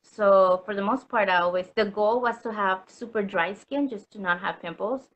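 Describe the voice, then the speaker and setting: A woman's voice: medium pitch, normal speed, highs cut off. A woman from Puerto Rico chatting as an interview guest, full of ums and likes, laughing easily. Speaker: customer guest. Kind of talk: casual interview chat.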